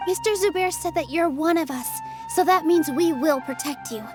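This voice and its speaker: An actress voicing a kind young woman dancer who speaks in a soft, reassuring way. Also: very high-pitched, moderate pace. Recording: game audio with clear music.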